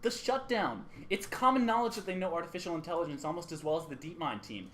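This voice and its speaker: A man's voice: on the high side, lively intonation, fast talker, a bit bright, slight background noise. A man playing an earnest, suspicious radio co-host in a radio play, somewhat hesitant. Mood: heated, accusing.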